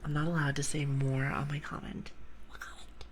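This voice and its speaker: A woman streamer with an accent chatting with her viewers in a soft, playful ASMR whisper. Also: low voice, level tone, normal speed, a little hiss or hum. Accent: non-native English. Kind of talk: ASMR live stream chat. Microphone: sensitive ASMR microphone with headphones.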